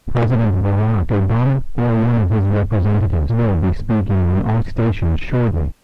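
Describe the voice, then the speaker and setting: Male announcer, low voice, mechanical delivery, emergency broadcast script, muffled recording, clear music bed. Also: dry recording. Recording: dull lo-fi broadcast audio.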